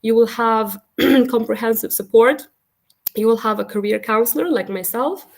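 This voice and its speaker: Hoarse woman w a cold, consultant pitching apprenticeships on a video call, earnest and encouraging, kinda halting. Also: a little mellow. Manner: earnest, encouraging, hesitant with pauses. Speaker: consultant and career counselor. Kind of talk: virtual open evening presentation.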